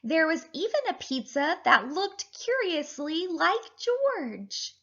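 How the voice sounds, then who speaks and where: A woman with a high voice speaks as a teacher to young children. Her delivery is animated and deliberate, as in a picture-book read-aloud. The sound is slightly dull, like a webcam recording indoors.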